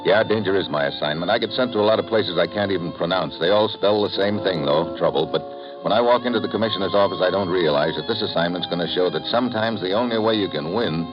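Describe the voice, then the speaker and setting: An actor playing a hard-boiled, wisecracking government agent in a 1950s radio drama, narrating with tough, wry humor. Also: male voice, low voice, fast, natural voice. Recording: lo-fi 1950s radio transcription with music.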